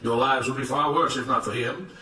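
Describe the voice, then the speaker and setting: A self-righteous plantation overseer from a storybook, acted out by a male narrator, declaims emphatic speeches. The voice is raised high and bright, with an orator's force. It sounds slightly distant in a roomy space. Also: a little fast, lightly acted. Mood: indignant, lecturing.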